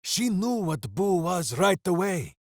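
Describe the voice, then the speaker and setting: Bombastic, wildly animated simple-hearted hero. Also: male voice, high-pitched, takes it slowly, no echo, clean studio sound, exaggerated voice. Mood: fond, wondering. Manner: bombastic, earnest, speaks of himself in third person. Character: big-hearted, simple-minded heroic warrior devoted to his hamster. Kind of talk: video game character voice acting.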